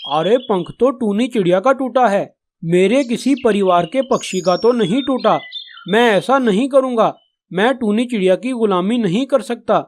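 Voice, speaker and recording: A man's voice: high-pitched, moderate pace, slight background noise, close to an everyday voice. Children's cartoon crow , male actor: selfish, stubbornly defiant, blunt and dismissive delivery. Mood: defiant, scornful. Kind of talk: Hindi children's cartoon dialogue.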